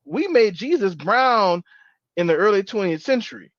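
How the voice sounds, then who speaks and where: A man on a book club panel, talking in an animated way with halting restarts and playful retellings. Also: sits high, no echo. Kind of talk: casual panel discussion. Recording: dull, dry lo-fi sound.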